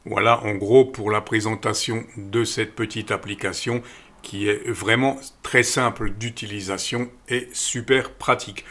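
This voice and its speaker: A middle-aged man calmly walking viewers through an app step by step, with frequent fillers. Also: fairly low pitch, measured pace. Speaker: radio equipment channel host. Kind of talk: screen-recorded app tutorial voice-over.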